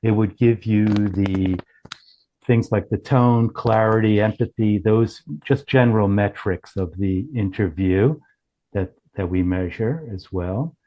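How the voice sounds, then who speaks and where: A man explaining a product demo as a company founder, in a halting, self-correcting way with many broken starts. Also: fairly low pitch, dull audio. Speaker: company founder and chief executive.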